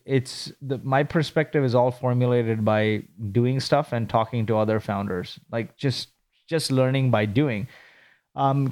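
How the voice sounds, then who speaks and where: A man from Kuwait, a startup founder, answering interview questions in a brisk, businesslike way with frequent rhetorical questions. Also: medium pitch, a little mellow.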